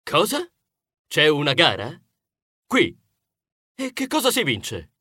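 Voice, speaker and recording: Man putting on a smug, brash storybook rodent, lively pitch. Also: slow, breathy edge, no echo, studio quality, exaggerated voice. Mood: cocky, taunting. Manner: boastful, condescending, cutesy diminutives. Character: smug, brash male rodent neighbour, boastful and mocking.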